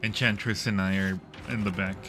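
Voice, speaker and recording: A man's voice: low voice, fairly even intonation, moderate pace, over music. A man hosting a livestream, chatting casually with viewers while playing a game. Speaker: livestream host. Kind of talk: casual livestream gaming chat.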